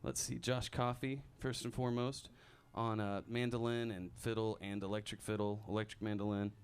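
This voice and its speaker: A man's voice: lowish voice, moderate pace, clean tone. A male musician chatting casually in a live radio studio interview, reeling off his band members with an easy chuckle. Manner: relaxed, chuckling, reeling off names. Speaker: musician and bandleader. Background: band playing.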